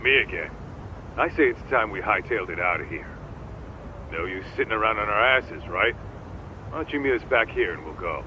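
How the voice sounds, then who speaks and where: A man's voice: fairly low pitch, animated, brisk, pauses often, lightly acted. A man voicing a brash, breezy, impatient sidekick in a video game, slangy and offhand, in muffled, noisy audio.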